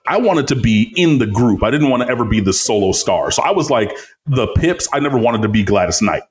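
A man with a low bass voice, a guest on a podcast, telling stories in a rambling, animated way full of fillers. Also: a little fast. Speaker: podcast guest, site editor. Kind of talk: casual chat.

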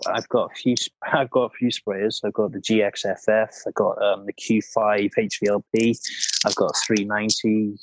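A man's voice: fairly low pitch, flat delivery, normal speed, low-quality recording. A man with a Welsh accent, a guest in a casual podcast chat about painting and decorating, who talks hesitantly with many ums and restarts.

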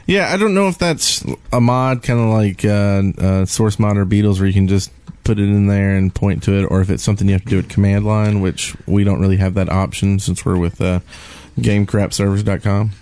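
A man with a fairly low voice chats informally as a podcast co-host. His recording is dry, with almost no room echo. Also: a little fast, few pauses, darkish tone. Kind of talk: casual gaming podcast chat.

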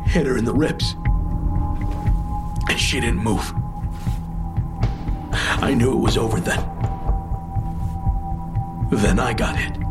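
An actor playing a guilt-ridden, bitter, grieving man in an audio drama, confessing in a heavy, halting way. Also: medium pitch, close to an everyday voice. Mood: haunted, anguished. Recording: music playing under the voice.

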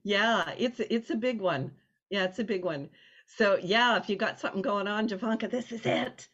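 A woman's voice: medium pitch, normal speed, a bit bright, breathy edge. Dull lo-fi audio, effusive woman host. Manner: effusive, rambling. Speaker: interview host. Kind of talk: casual conversational interview.

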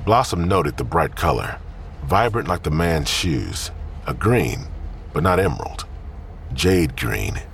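A man narrating scripted crime fiction in a grim, deliberate storytelling manner. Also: a bass voice, animated, vocal fry, slight background noise.

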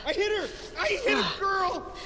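An actor playing a goofy, excitable young man, a reluctant hero in a comedic action audio drama, who yelps, whines and wisecracks. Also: extremely high pitch, normal speed, long reverb tail, exaggerated voice. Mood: giddy excitement. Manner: yelping, whiny, wisecracking.

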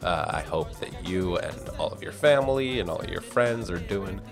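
Podcast host: American male, very low register, informal and enthusiastic delivery. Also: lively intonation, moderate pace, gravelly, over music. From suburbs of New York, US. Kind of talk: casual podcast intro and interview chat.

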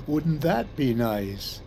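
An actor playing a friendly, protective older truck driver in an audio drama, folksy and halting, with a creaky voice. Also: male voice, a little high, full character voice. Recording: noisy vehicle cab ambience.

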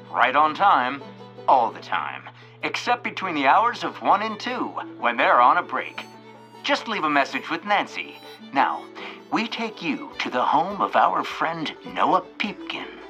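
A chipper radio announcer with a bright, forward voice that sits a little high. He swings his pitch in a polished, theatrical way, folksy and wry, over music. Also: dull audio, full character voice. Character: chipper folksy male radio show host. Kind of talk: old-time radio announcing.

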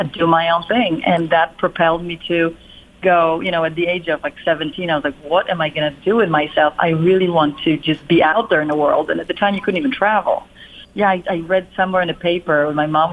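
A woman's voice: low voice, slight background noise. A middle-aged woman from Poland with a Polish accent, telling her life story as an interview guest in a reflective, rambling way full of fillers and restarts. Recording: narrow phone-like line.

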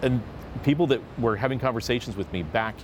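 Male host, lively and jokey, mid-range voice, faint traffic rumble behind. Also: brisk. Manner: animated and jokey, with a rising upbeat lilt. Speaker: host of a discussion panel. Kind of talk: casual roundtable discussion. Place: seated at a table in a city.

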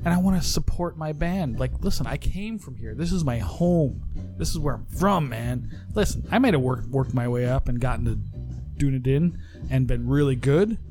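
A man's voice: medium pitch, animated, moderate pace, music in the background. Laid-back male podcast co-host. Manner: laid-back, profane arguing. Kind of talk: casual comedic chat.

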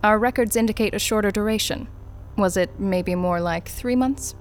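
An actress playing a coolly polite, probing corporate interrogator in an audio drama, speaking in a brisk, formal, dryly sardonic way. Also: female voice, mid-range voice, soft background music, lightly acted. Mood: dryly needling.

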